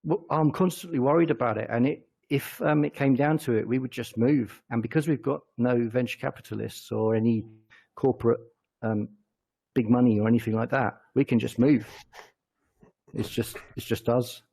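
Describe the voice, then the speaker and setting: A man with an English accent answering casually as an interview guest, halting and often correcting himself mid-sentence. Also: mid-range voice, steady tone, gravelly, dark tone, husky. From England. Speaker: interview guest, company chief executive.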